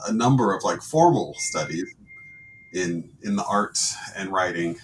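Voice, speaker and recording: Low-ish voiced guy cohosting a podcast, hesitant, laughs a lot, bit of faint hiss behind. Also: expressive, unhurried, low-quality recording. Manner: hesitant, laughs often, many restarts. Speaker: podcast co-host. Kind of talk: casual chat.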